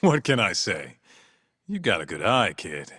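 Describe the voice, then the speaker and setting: An actor playing a gruff, streetwise but easygoing tough man in video game dialogue. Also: low voice, huge pitch swings, a little slow, sharp tone, no room sound, full character voice.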